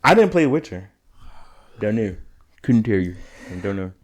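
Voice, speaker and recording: A man's voice: on the low side, sing-song, moderate pace. A man co-hosting a casual gaming podcast, rambling with many restarts and blunt opinions. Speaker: podcast co-host. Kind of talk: casual chat.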